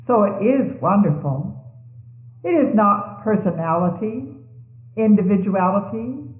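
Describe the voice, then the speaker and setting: A woman reading religious messages aloud in a solemn, measured and formal manner, on a 1970s tape. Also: medium pitch. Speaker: religious reader. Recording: 1970s tape, thin phone-like band, echoey room.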